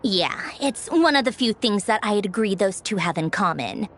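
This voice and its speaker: Woman voicing a wry, brisk harbinger engineer. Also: mid-range voice, animated, lightly acted. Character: sharp-tongued female Fatui harbinger engineer, bossy. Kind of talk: game voice acting. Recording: game dialogue over soft music.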